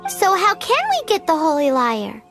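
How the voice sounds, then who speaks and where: A woman's voice: extremely high pitch, wildly swooping pitch, moderate pace, over music, heavily acted. A woman voicing a tiny, childlike companion creature in a video game, blunt and full of questions. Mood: curious.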